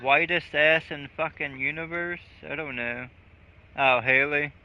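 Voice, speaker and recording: A man's voice: a little high, steady tone, measured pace, dull audio. A man streaming a game live, chatting casually with crude, profane jokes. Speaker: gaming streamer.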